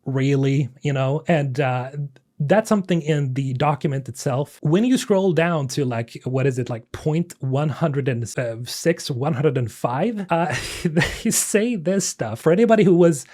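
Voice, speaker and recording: A young man with a somewhat high voice talks through gaming news in a loose, animated way. He keeps sounding incredulous, leans on fillers and laughs, and his voice has gone a little raspy and tired. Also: a little slow. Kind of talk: casual commentary video.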